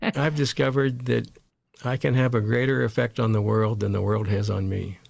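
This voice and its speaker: Male interview guest, strongly fry-laden voice, dry close sound, halting conversational delivery with repeated words. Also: mid-range voice, level tone, no echo. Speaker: guest, meditation teacher.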